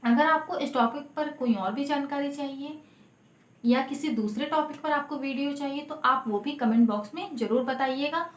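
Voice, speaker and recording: A woman's voice: high voice, normal speed. A woman explaining law informally as an advocate, emphatic, often repeating and rephrasing her key points. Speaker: lawyer presenting legal advice videos. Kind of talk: informal explanatory legal talk to camera. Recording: echoey untreated room.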